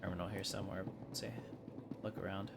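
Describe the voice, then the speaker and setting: A man's voice: low-pitched, flat delivery, quick pace, heavy background noise. A man giving casual, easygoing live gameplay commentary, thinking aloud as he plays. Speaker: gaming streamer.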